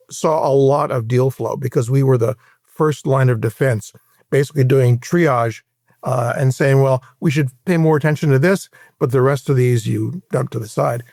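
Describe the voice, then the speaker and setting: A man in his sixties from Hawaii with an American accent, recounting his career in a measured, explanatory way as an interview guest. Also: medium pitch, gravelly, a little mellow, no echo, studio quality. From Hawaii, United States. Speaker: interview guest, private equity veteran. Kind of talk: conversational career recollection in an interview.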